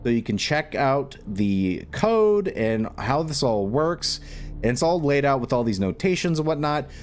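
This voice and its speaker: A man presenting an unscripted step-by-step screen tutorial, thinking aloud in a halting way as he explains. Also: medium pitch, very animated, a little hiss or hum.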